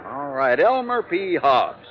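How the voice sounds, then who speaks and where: An actor playing a folksy, evasive insurance agent in a 1950s radio drama, with a defensive, insistent manner. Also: male voice, a little high, big pitch swings, slow. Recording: dull vintage radio broadcast.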